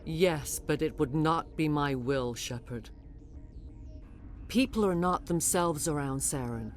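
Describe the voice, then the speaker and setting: A solemn alien matriarch in a sci-fi game, her voice low. She speaks in grave, formal, measured phrases, a servant struggling against control of her mind. Music plays behind her. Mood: solemn, haunted. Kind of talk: dramatic video game voice acting.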